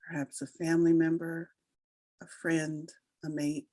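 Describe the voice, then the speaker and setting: A woman leading a guided meditation, giving soothing, unhurried instructions with long pauses between phrases. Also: deep voice, little pitch movement, mellow, no room sound. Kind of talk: slow guided meditation narration.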